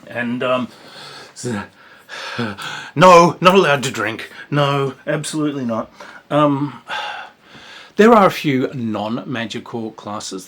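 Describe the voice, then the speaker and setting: A man's voice: medium pitch, fairly bright tone, breathy edge. A man giving a casual, rambling spoken review of a tabletop game, with sighs, whispered asides and exclamations.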